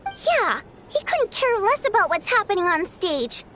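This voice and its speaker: A woman playing a proud, easily offended theater singer in scripted video game dialogue, with an expressive, theatrical, pointed delivery. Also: extremely high pitch, a little slow, slight background noise, full character voice. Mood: indignant.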